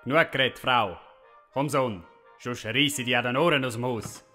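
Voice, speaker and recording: A man's voice: mid-range voice, normal speed, sharp tone, soft background music, close to an everyday voice. An actor playing a stubborn, hot-headed family father and folk hero in a comic audio drama, blunt and bossy, with a Swiss German accent. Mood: indignant, commanding.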